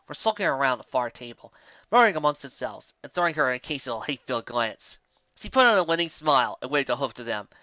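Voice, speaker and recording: Expressive male story narrator, bright voice. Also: mid-range voice, dry recording, dull audio. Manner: theatrical, dramatic. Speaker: narrator, reader. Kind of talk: expressive amateur fan fiction reading.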